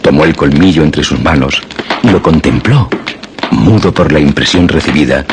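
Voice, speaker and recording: A man narrating a scripted suspense radio drama in a measured, dramatic way. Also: a bass voice, over music.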